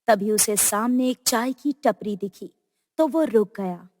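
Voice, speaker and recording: A woman's voice: on the high side, unhurried, darkish tone, big-room sound. A woman narrating a scripted horror story as the storyteller.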